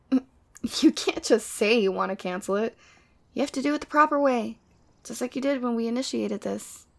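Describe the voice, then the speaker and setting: A woman's voice: fairly high pitch, creaky. A woman playing a sweet, teasing, clingy demon girlfriend in a casual ASMR roleplay. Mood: defensive, sulky. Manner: casual, teasing, playfully exasperated. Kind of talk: ASMR roleplay audio drama.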